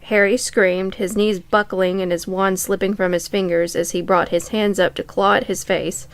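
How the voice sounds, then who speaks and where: A woman with a very clear, smooth voice at a medium pitch reads a story aloud as a podcast co-host. The sound is dry and close. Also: flat delivery, moderate pace, dry recording. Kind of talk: reading fan fiction aloud.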